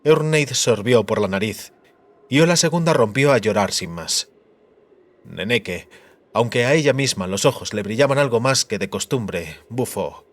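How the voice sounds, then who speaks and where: A man's voice: mid-range voice, lively intonation, normal speed. A man reading dramatic scripted narration as an audiobook narrator. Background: music.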